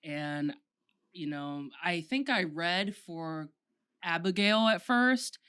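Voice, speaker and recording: A young woman with a low, smooth, resonant voice tells stories about her acting in a relaxed podcast chat. She talks at an easy pace, with plenty of ums and likes. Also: slow pace. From Florida. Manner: relaxed, storytelling, with fillers. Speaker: podcast host and actor.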